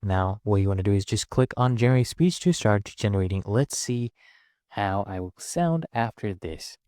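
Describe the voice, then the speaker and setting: A man presenting a step-by-step software tutorial in an informal, instructional way. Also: on the low side, lively intonation, normal speed, no room sound, clean studio sound.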